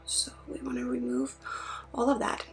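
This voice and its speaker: A woman from Sweden in soft-spoken ASMR as a spiritual energy healer, drifting between a murmur and a breathy whisper. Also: fairly high pitch, monotone, moderate pace, faint music behind. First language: Swedish.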